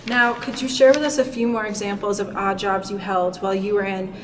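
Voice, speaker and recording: A woman interviewer puts prepared questions to her guest in a polite, read-out way. She is heard from some way off the mic, and steady background noise sits under her voice. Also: mid-range voice, a little fast, far from the mic.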